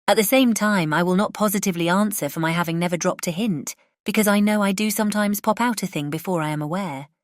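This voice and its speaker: An actress with a British accent playing a chatty, scatterbrained, good-natured middle-aged spinster who rambles effusively in an audiobook drama. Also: female voice, mid-range voice, fast, no echo, studio quality, close to an everyday voice. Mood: chatty, flustered. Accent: British English. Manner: rambling, effusive, self-interrupting. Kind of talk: dramatized classic novel audiobook.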